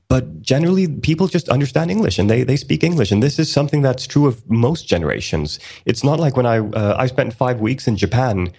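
A man co-hosting a casual podcast, explaining at length in a relaxed way with many ums and restarts. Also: medium pitch, breathy edge. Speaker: podcast co-host.

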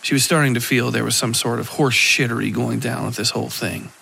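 Gravelly man narrating a horror story. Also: mid-range voice, fairly even intonation, brisk, sharp tone, slight background noise. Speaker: narrator of a fiction podcast. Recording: clear music behind the voice.

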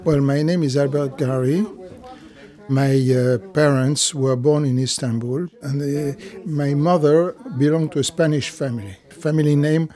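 A man from France, talking in a casual interview, halting and searching for words. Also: a little high, steady tone, mellow. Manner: halting, with many uh fillers and restarts.

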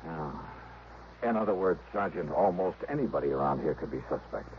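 Wry, animated radio detective. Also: male voice, very deep voice, a little fast. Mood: probing. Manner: probing, conversational, direct. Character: brisk, probing insurance investigator, wry and patient. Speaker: detective and narrator. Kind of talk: 1960s radio detective drama acting. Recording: muffled, noisy old radio recording.

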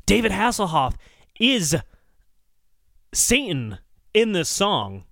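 Bright, high-voiced, animated man. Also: takes it slowly, gravelly, no echo, studio quality. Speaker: podcast co-host. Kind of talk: comic song-critique banter.

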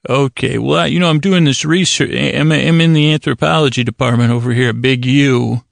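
A man's voice: mid-range voice, moderate pace, creaky, dry recording, close to an everyday voice. A man playing a self-important fake university researcher in a bedtime story, talking formally while stumbling through his bluff.

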